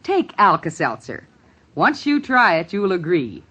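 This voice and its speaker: A woman's voice: fairly low pitch, sing-song, measured pace, bright, forceful tone, husky. A woman reading a scripted 1950s radio commercial as the product's spokeswoman. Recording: dull, band-limited 1950s radio recording.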